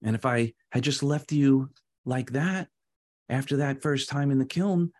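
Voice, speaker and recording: A storyteller playing a male master potter who speaks in a measured, firm and patient way. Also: medium pitch, darkish tone, lightly acted. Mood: patient explaining.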